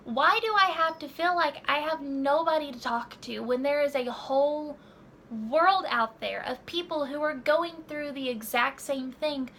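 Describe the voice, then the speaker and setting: A woman's voice: sits high, bright, forceful tone. A woman in her early twenties chatting casually to her camera at home, rambling with many fillers and restarts. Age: 20s. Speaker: vlogger. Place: home room.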